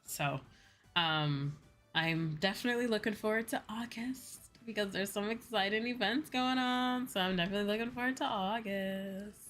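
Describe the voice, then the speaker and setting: A woman's voice: medium pitch, normal speed, a bit bright. A woman chatting casually to her livestream viewers in a rambling way, peppered with "like" and casual swearing. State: sleepy. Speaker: VTuber livestreamer; school teacher. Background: music behind the voice.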